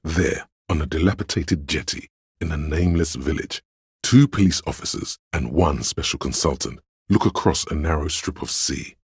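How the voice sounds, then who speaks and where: A man voicing a brooding inner voice that narrates a police drama in a game, with a very deep, gravelly, husky delivery, measured and solemn. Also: no echo, studio quality, full character voice. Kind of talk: literary scripted narration.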